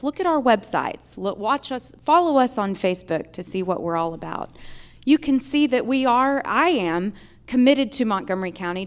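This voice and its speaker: American female candidate, mid-pitched, deliberate and rhetorical forum closing statement. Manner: rhetorical, deliberate repeated questions. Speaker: judicial candidate. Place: live in a theater.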